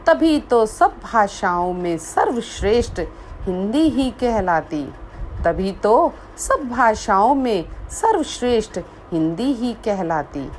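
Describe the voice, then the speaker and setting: A woman reciting a poem she wrote herself, in a measured voice that repeats lines for emphasis, after a short spoken introduction. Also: on the high side, very animated, a little mellow, slight background noise, low-quality recording. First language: Hindi. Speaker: poet. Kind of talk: slow recited poetry.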